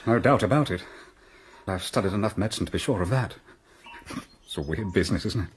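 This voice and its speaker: An actor playing an excitable young gentleman in a 1920s-set radio drama, blustering and quick to exclaim. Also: on the low side, a little hiss or hum, close to an everyday voice. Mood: shocked.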